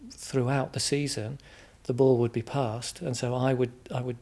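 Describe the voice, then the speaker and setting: English guy being interviewed, thinks out loud, hesitant, repeats himself while explaining stuff. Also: mid-range voice, flat delivery, normal speed. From England, Midlands. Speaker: interview guest. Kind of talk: informal interview answers.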